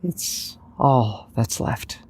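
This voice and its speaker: A man voicing a weary, gentle mortician in an audio story, steady and subdued, with quiet grief. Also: mid-range voice, very slow, slight background noise, voice pushed up. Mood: soft, solemn. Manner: steady, subdued, hesitant delivery. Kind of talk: dramatic audiobook character voice.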